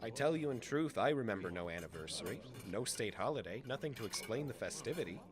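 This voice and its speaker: A man playing a puzzled, flattering guest of a dictator in a radio drama, musing and inquisitive. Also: lowish voice, fast talker, a crowd in the background, close to an everyday voice.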